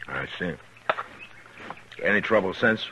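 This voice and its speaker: An actor playing a calm, tough, fair-minded American frontier marshal in 1950s western radio drama, speaking in a measured, plain way with thoughtful pauses. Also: male voice, low voice, lo-fi sound. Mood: probing, calm. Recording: noisy vintage 1950s radio recording.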